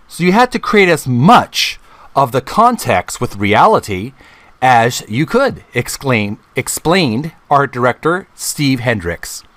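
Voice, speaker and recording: A middle-aged man hosting a channel, reading a book aloud in a deliberate, halting way, phrase by phrase. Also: mid-range voice, a bit bright, no room sound. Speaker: video channel host.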